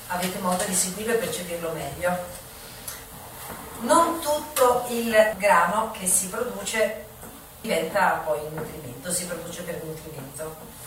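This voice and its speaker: Woman explaining stuff before a stage show, lowish voice, super echoey and far off mic, noisy room. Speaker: stage performer introducing a show. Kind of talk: informal spoken introduction to an audience. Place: echoing performance space, recorded from afar.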